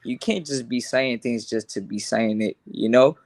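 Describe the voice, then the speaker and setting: A man's voice: medium pitch, moderate pace, a little mellow. A young man who grew up between Los Angeles and Honduras, speaking earnestly and casually in an interview, often repeating words as he starts a thought. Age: young adult. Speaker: boxer, interview guest.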